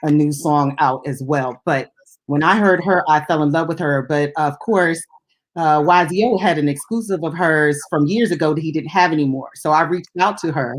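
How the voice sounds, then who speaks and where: A woman's voice: deep voice, normal speed. A woman who hosts radio, talking in a casual, easygoing, conversational way on a music talk show. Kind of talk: casual, unscripted chat.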